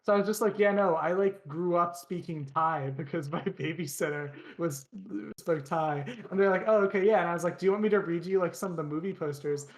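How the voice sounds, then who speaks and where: A man's voice: fairly high pitch, fairly quick, few pauses. A young man co-hosting a casual podcast, telling stories in a rambling way full of restarts and fillers. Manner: animated storyteller. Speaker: podcast co-host. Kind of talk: casual chat.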